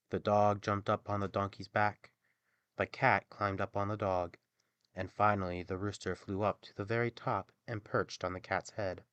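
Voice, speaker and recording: A man narrating a scripted fairy tale as an expressive, theatrical bedtime storyteller. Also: sits low, clear, resonant tone, dry recording.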